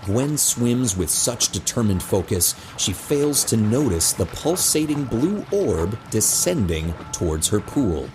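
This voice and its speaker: A man's voice: on the low side, sing-song, unhurried, hardly any pauses, music in the background, poor audio. A man narrating a scripted audio drama as its storyteller.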